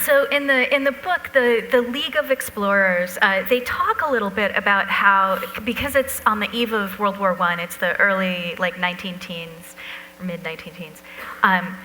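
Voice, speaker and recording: A woman's voice: mid-range voice, moderate pace, sharp tone. A woman moderating a live on-stage interview, asking questions in conversational, tentative phrases. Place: live talk event hall. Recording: reverberant hall with noise and hum.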